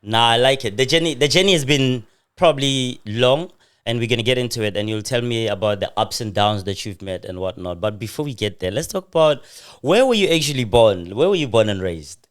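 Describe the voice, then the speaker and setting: A man hosts a podcast interview and asks questions with playful curiosity. His pitch is ordinary for a man, but his intonation swings widely, and he laughs often. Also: animated, brisk. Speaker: podcast host. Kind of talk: casual interview conversation.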